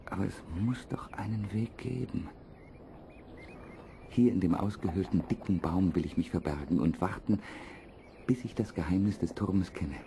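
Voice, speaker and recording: A determined young fairy-tale prince, low soft-toned voice, faint noise behind. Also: male voice, moderate pace, creaky. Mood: enchanted, resolute. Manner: declaiming, storybook formality. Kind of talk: fairy-tale audio drama.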